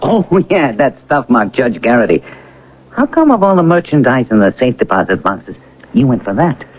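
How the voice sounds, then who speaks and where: A rough-edged, folksy bank robber in an old radio play. The voice is husky and swings widely up and down, deferential and slangy, through a muffled vintage broadcast. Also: male voice, mid-range voice, very animated, moderate pace. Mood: businesslike, curious. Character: plain-spoken, admiring professional bank robber, rough-edged crook. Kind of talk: radio drama acting.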